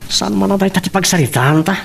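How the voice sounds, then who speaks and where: A defiant young man in love in a radio drama. His voice has a gravelly creak, over faint background noise. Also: sits high, quick pace. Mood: brooding, irritated. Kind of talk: Philippine radio soap acting.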